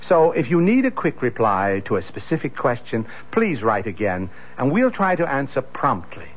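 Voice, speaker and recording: A man addressing listeners as a radio producer in a measured, courteous scripted announcement. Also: medium pitch, very animated. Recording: dull vintage radio recording.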